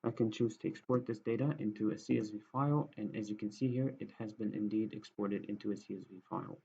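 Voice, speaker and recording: A man with an Egyptian accent explaining a software demo step by step in a plain, hesitant way, as a student programmer. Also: medium pitch, creaky, slightly rough. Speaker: student programmer presenting a project. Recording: dull, lo-fi microphone sound.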